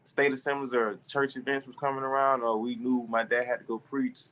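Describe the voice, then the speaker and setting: A man's voice: mid-range voice, little pitch movement, a little fast, a bit bright, rough recording. A man in his twenties, a guest musician, talking loosely and trailing off in a casual interview. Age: 20s.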